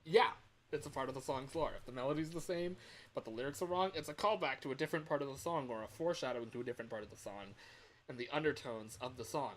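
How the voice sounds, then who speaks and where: A man talks casually to a livestream at an ordinary mid pitch. He rambles along and pokes fun at himself. A faint, steady fan hum sits under his voice. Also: fast. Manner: rambling, self-deprecating. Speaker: livestreamer recording game voice lines.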